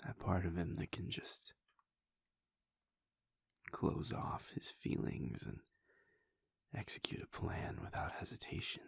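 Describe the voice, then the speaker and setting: A man voicing a brooding, conflicted male vampire prince in a whispered audio roleplay monologue, hesitant and breathy. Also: low voice, steady tone, takes it slowly, long pauses, dry recording, exaggerated voice. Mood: wistful. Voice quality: gravelly, breathy. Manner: soft whispering, hesitant restarts.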